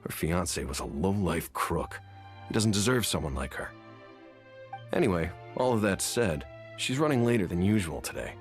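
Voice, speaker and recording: A plain-spoken, sympathetic townsman from a video game. His voice sits very low but moves expressively as he gossips wistfully, over faint music. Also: animated, moderate pace. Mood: pitying. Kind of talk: video game character acting. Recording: music behind the voice.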